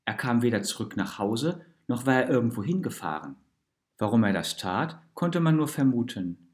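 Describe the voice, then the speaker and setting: A man reading a literary, essayistic story as a narrator, in an expressive and deliberate manner. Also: medium pitch.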